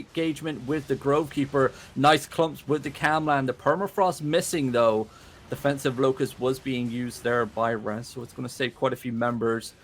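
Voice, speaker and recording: A man giving relaxed, chatty live play-by-play commentary on an online game battle. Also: medium pitch. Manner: relaxed, chatty, laughs easily. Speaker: esports caster.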